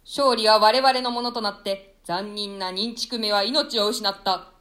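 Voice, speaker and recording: A woman's voice: medium pitch, fairly even intonation, a little slow, a bit bright, close to an everyday voice. Woman playing a solemn, victorious new king. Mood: triumphant. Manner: solemn, formal, oratorical. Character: pious, victorious nobleman becoming king. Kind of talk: classical stage-play declamation in archaic Japanese.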